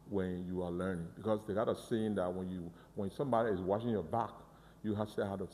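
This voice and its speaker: A man explains a museum in an earnest, halting way, often stumbling over and restarting his words. His voice is low-pitched and mellow. A long reverb from the museum hall trails behind him. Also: a little hiss or hum. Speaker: museum guide. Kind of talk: guided tour interview.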